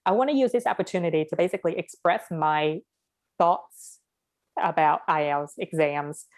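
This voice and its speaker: A young woman with a Vietnamese accent and a fairly low voice talks in a casual conversation. She is frank and animated, and she states her opinions firmly. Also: fairly quick. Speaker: interviewee.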